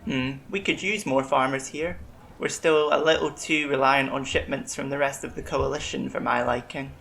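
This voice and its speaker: An actor playing a calm, empathetic alien colony doctor in a science-fiction audio drama, speaking in a gentle, reassuring way. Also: mid-range voice, normal speed, creaky, slight background noise. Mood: friendly.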